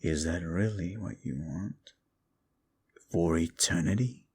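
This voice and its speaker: A man voicing a brooding, scornful fallen demon in an audio roleplay, with a deep gravelly voice and a slow way of talking. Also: darkish tone, heavily acted.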